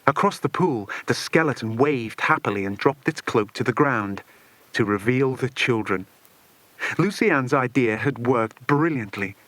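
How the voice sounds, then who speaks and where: Animated male audiobook narrator. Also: medium pitch, unhurried, no echo. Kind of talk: dramatic scripted children's story narration.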